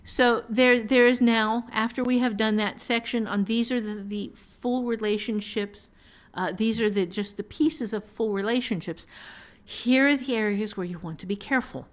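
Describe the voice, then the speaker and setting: A woman's voice: a little high, normal speed. Woman casually talking shop as a novelist. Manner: chuckles often while talking, emphatic repetition. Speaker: novelist and co-host discussing fiction writing. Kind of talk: casual conversational writing discussion.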